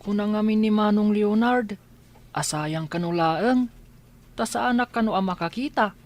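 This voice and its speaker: A woman's voice: mid-range voice, moderate pace, lightly acted. A woman with an Ilocano Filipino accent playing an earnest, discouraged blind child in a radio drama, questioning plaintively. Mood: plaintive, doubtful.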